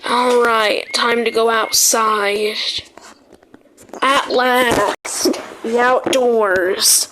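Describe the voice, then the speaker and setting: A woman speaking in an amateur, haltingly delivered way, often repeating words, as a homemade video narrator. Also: fairly high pitch, fairly even intonation. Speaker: video creator narrating a made-up emergency alert scenario. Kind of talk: amateur emergency alert read-out mixed with casual gameplay chat. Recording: clearly noisy home recording with clicks.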